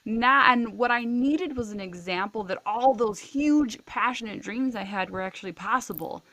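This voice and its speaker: A woman's voice: fairly high pitch, moderate pace, a bit bright. A woman in her thirties in a casual group podcast chat, eager and peppering her talk with "like". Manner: eager, says like a lot. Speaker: podcast guest.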